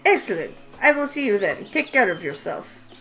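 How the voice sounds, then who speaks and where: A woman's voice: mid-range voice, normal speed. A woman voicing a wise, teasing older witch who runs a shop, in a game read-through over faint music. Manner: teasing, knowing and calm. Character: wise sly older female witch shopkeeper. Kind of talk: voice acting a visual novel.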